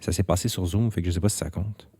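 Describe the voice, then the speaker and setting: Male narrator, Quebec French accent, deep mellow voice, dry understated storytelling. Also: fairly even intonation, fast talker, slightly rough. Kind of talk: scripted first-person narration. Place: recording studio. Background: faint music.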